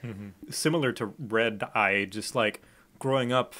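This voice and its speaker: A man who grew up in Thailand talks through his ideas on a casual podcast. His voice sits low, and he meanders thoughtfully, restarting phrases as he goes. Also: unhurried, sharp tone. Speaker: podcast guest artist. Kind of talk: casual chat.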